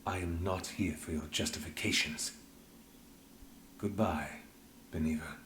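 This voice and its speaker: An actor playing a stern, dry inspector in a video game, speaking in measured, clipped, formal lines. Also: male voice, low-pitched, fairly even intonation, a bit bright, reverberant, slight background noise. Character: stern, dry male inspector, guarded and duty-bound. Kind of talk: video game dramatic dialogue.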